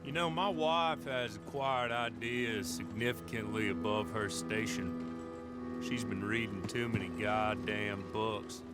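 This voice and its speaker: An actor playing a grumbling, bossy, ignorant prison guard in a western video game, talking in a gruff, opinionated way. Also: male voice, on the low side, steady tone, takes it slowly, over loud music.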